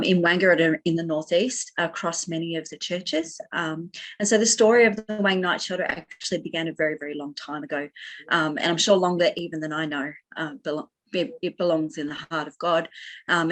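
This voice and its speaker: A woman minister with an Australian accent and a scratchy voice, telling a passionate, earnest story at an online church workshop, with many ums. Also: low voice. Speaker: minister and chaplain.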